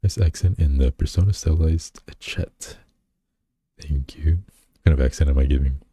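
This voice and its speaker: A man's voice: extremely low pitch, steady tone, normal speed, warm tone, breathy edge, no echo. A man streaming as a VTuber who chats casually and reads his chat aloud. He rambles and teases himself, with lots of fillers and restarts, recorded on a close desk mic at home. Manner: rambling, self-deprecating, many fillers and restarts.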